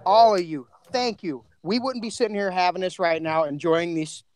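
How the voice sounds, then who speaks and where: A man's voice: high-pitched, moderate pace. A man chatting casually in a group podcast, speaking in an effusive, rambling, informal way.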